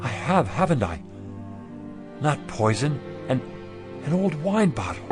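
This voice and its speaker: An actor playing an anxious, wistful married shopkeeper in a radio drama, earnest and emotional in delivery. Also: male voice, medium pitch, very animated, a little slow. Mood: shaken. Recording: music behind the voice.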